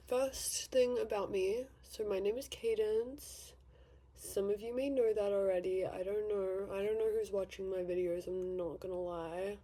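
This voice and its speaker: A woman's voice: mid-range voice, a little mellow, slightly rough. An eighteen-year-old woman from Victoria with an Australian accent, chatting casually and rambling a little while she does her makeup for a vlog. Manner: chatty, rambling, self-deprecating. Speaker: vlogger. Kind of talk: casual get-ready-with-me chat. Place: bedroom vanity at home.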